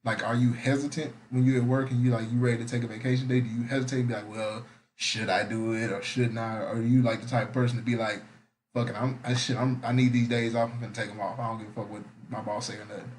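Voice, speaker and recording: A man's voice: mid-range voice, little pitch movement, fast, darkish tone, slight background noise, low-quality recording. A young man hosting a podcast in casual, slangy, profane chat. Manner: laid-back, slangy, profane. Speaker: podcast host.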